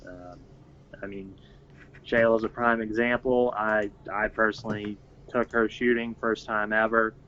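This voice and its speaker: A man's voice: lowish voice, level tone, a little slow, fairly bright tone, dull audio, a little hiss or hum. A man who organizes a gun-rights group, talking in a plain, matter-of-fact way on a casual podcast.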